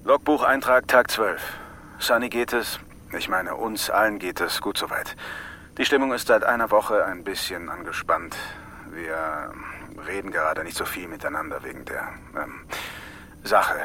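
An actor playing a male astronaut on a Mars base, dictating a log entry with hesitation and halting pauses, with a faint hum behind him. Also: low-pitched, bright, forceful tone, full character voice. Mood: hesitant.

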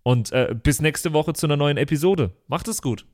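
Higher-voiced man, casual podcast host. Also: normal speed, darkish tone. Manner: jokey, informal, breaking into chuckles. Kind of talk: casual off-script chat, listener thanks and shout-outs.

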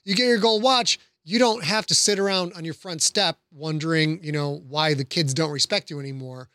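A man's voice: a little high, moderate pace, pushed and bright, no echo, studio quality. A man on a podcast panel, speaking casually and earnestly, making encouraging points with illustrative examples.

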